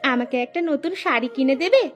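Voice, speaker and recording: A woman's voice: high-pitched, normal speed, lightly acted. A woman voicing a demanding, quarrelsome young village wife in a cartoon, with a complaining manner, music behind her. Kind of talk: Bangla cartoon dialogue.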